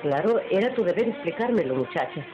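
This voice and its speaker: A stern, aloof noble lady of an old radio drama. She speaks formally and curtly, as one used to giving orders. The recording is muffled, with music clearly behind her. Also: fairly low pitch, very animated, brisk. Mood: cool, stern. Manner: formal, curt, commanding. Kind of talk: old Spanish-language radio drama acting.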